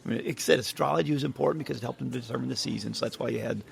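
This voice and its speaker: Gravelly man reading history notes. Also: mid-range voice, rapid-fire, slight background noise. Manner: hesitant, many ums, laughs along. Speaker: podcast host. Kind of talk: casual chat reading notes.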